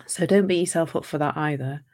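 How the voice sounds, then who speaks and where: A woman speaking as a coach in a live talk on relationships, gentle and reflective, her voice a little croaky from a sore throat. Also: sits low, a little fast.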